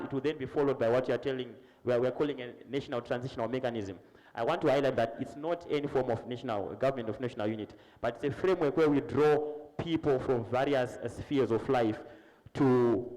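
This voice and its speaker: A man argues his party's case in a rush, words tumbling out fast and insistently. He restarts phrases as he goes. A long reverb hangs around him in the studio. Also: mid-range voice, a little mellow. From Zimbabwe. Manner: insistent, piles up points with restarts. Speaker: party politician on a panel. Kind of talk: impassioned political argument.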